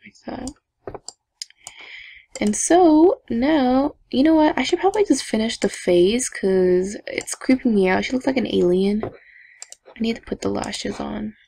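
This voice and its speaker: A young woman chatting casually to viewers while she builds a game avatar, talking to herself with giggly asides in a low evening voice. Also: a little slow, gravelly, warm tone, slight background noise. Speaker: gaming vlogger. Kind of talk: casual screen-recording commentary.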